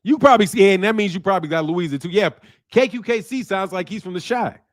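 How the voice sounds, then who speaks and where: Male streamer host, high-voiced, slangy, animated off-the-cuff boxing talk. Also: quick pace. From Chicago area. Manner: animated, slangy, loud outbursts and laughter. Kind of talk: casual livestream talk. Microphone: streaming mic.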